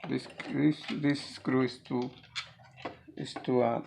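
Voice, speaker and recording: A man with a heavily gravelly, creaky voice at an average pitch walks through a hands-on repair job. He speaks off the cuff as a DIY presenter, halting and repeating words as he goes. Faint background noise and clicks sit behind the voice. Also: level tone, darkish tone. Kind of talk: hands-on how-to demonstration, unscripted.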